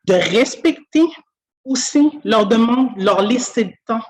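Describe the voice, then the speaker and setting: A woman's voice: a little high, lively intonation, slow. A woman from Quebec with a Québécois French accent, a school speech-language pathologist talking through her work thoughtfully and earnestly, with many fillers and restarts, on a video call from a school office. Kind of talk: conversational interview.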